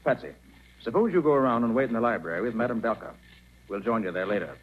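An actor playing a shrewd, self-assured private detective in a 1940s radio drama, speaking crisply and with command. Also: male voice, mid-range voice, expressive, quick pace, natural voice. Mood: brisk, assured. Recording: muffled, noisy 1940s radio recording.

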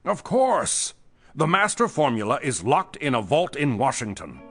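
An actor playing a frightened, defensive company boss in a pulp thriller audio drama, with a nervous, hesitant manner. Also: male voice, medium pitch, huge pitch swings, measured pace, a bit bright, slightly rough, faint music behind, heavily acted. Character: frightened, shaken male chemical company boss under police questioning.

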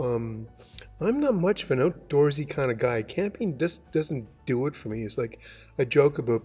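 Older man pastor, mellow husky webcam talk. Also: medium pitch. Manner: conversational, relaxed, musing with asides. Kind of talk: devotional talk and prayer. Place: indoors, large room with wood beams. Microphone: webcam, close framing. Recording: dull lo-fi webcam sound.

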